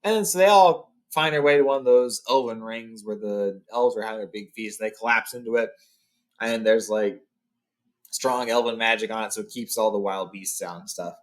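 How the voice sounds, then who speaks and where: A man's voice: fairly low pitch, moderate pace. A man hosting a read-along, narrating a fantasy storybook with informal recaps.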